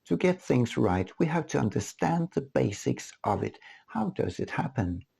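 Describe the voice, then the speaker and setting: A man reading his own book aloud as scripted narration, in a deliberate, expository way. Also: mid-range voice, big pitch swings, gravelly, warm tone, slightly rough. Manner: deliberate, rhetorical questioning.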